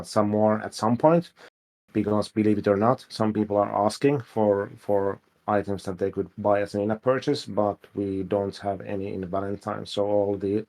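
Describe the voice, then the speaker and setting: A man with a Finnish accent, a game developer answering questions casually on a livestream, with many fillers. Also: lowish voice. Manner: relaxed, hesitant, many fillers.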